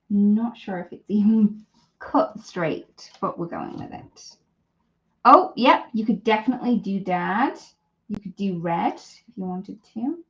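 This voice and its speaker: Chatty woman demonstrating crafts, slightly dull audio. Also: mid-range voice. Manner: chatty, thinking aloud while working. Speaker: host of a live papercraft demonstration. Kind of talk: casual live craft tutorial chat.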